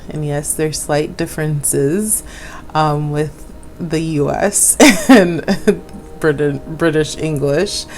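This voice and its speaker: A woman hosting her own vlog, talking in a gentle, affirming way with small laughs, raised in Jamaica. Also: sits low. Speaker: channel host. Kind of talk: casual vlog talk.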